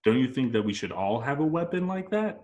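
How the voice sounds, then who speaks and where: A man's voice: mid-range voice, sing-song, fairly quick, a little mellow, highs cut off, a little hiss or hum, lightly acted. A man playing an indignant, insecure space outlaw captain, petulant and protesting, in an amateur film table read.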